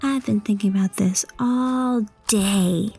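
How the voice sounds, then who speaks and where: An eager, curious schoolgirl in an audio drama, voiced by a woman. Her delivery is childlike but unhurried, with a soft, mellow tone and faint music behind it. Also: mid-range voice, gravelly, slightly rough, heavily acted. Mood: mildly whiny. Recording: music under the voice.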